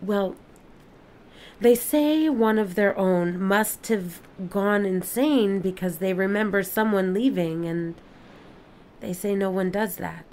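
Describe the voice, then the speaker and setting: A woman playing a careful, formal alien scientist in an audio play, speaking precisely with deliberate pauses. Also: medium pitch, darkish tone, slight background noise, close to an everyday voice. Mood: careful, explaining. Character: alien witness, careful, formal female scientist-observer of planets.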